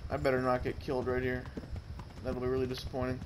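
A man's voice: medium pitch, completely flat delivery, quick pace. A man giving casual let's-play commentary, talking to the game's characters and swearing mildly as he plays. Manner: mild swearing, talks to game characters. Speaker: gamer narrating his playthrough. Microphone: Bluetooth headset. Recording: noisy, grainy Bluetooth headset audio with game sounds. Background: game audio with horse hooves.

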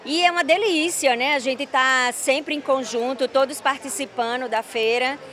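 A woman's voice: high-pitched, fairly even intonation, normal speed, a bit bright. A middle-aged woman interviewed at a craft fair stall, chatting cheerfully into a handheld mic. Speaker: artisan.

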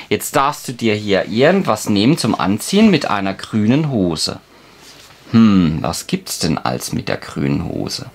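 A man's voice: on the low side, a little hiss or hum. A man, casually and patiently explaining a children's game to a child in an encouraging way. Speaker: father playing and explaining a children's board game. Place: indoors at a table.

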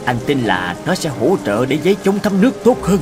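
An eager middle-aged inventor from a cartoon. He muses expressively with very lively intonation, over clearly audible music. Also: fairly high pitch, a little fast. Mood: excited, hopeful. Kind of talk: cartoon dubbing. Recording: clear music and rain behind.

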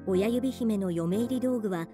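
A woman's voice: medium pitch, unhurried, over music. A woman narrating a fairy-tale radio drama in scripted storybook style.

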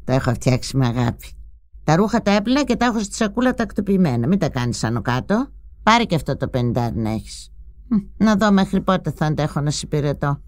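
An actress voicing an older pensioner mother, bossy and sharp-tongued, who grumbles, scolds and fusses over her grown son in a dramatized memoir. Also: sits low, very animated, no room sound, studio quality. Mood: fussing. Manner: scolding, chatty, complaining, with sudden outbursts.

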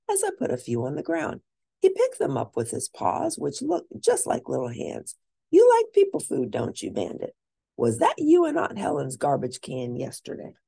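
Female children's book narrator, low mellow register, highly animated and expressive read-aloud delivery. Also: creaky, husky, no room sound, studio quality. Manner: animated, deliberate, lingering on key words. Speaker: storyteller reading a children's book.

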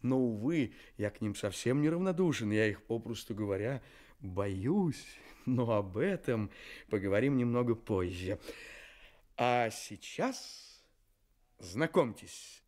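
A man's voice: medium pitch, expressive, slow, a little mellow. An actor playing a courteous, mysterious storyteller in a children's radio play, theatrically addressing his listeners. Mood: mysterious, inviting. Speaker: narrator, storyteller host. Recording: studio radio play with music.

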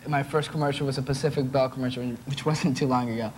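A man's voice: medium pitch, steady tone, fast. Young Venezuelan man on a noisy TV set. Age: young adult. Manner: chatty, laughing, restarts his sentences. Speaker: talk show guest, actor. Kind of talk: casual chat. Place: TV studio with audience. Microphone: lapel. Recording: noisy old TV broadcast.

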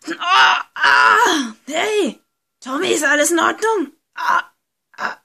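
A woman's voice: very high-pitched, lively intonation, slow pace, creaky, sharp tone, exaggerated voice. A woman voicing a frightened, wounded girl in an amateur horror audio drama, pleading tearfully. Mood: panicked. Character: frightened wounded girl lost in a dark haunted villa.

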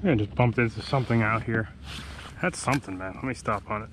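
A man's voice: lowish voice, brisk, noisy background. Laid-back man narrating from a kayak. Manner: laid-back, talks to himself between casts. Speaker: kayak fishing vlogger. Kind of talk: casual running commentary while fishing. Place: kayak on calm open sea, outdoors. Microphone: action camera mounted on the kayak.

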